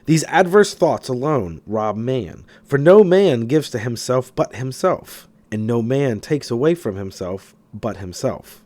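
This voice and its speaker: A man reading a self-help audiobook in an expressive, emphatic scripted narration style. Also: mid-range voice, unhurried, hardly any pauses.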